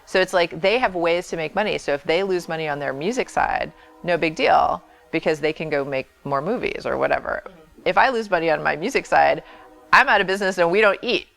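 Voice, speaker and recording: A woman's voice: lowish voice, brisk, gravelly, fairly bright tone. A woman being interviewed as a label president, explaining things informally in a plainspoken, emphatic and wry way.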